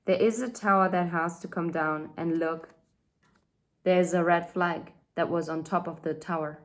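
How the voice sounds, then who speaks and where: A woman's voice: fairly low pitch, fairly even intonation, measured pace. A woman in her thirties giving a casual tarot reading, chatty and thinking aloud with fillers and asides. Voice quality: says she has a loud voice. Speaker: tarot reader.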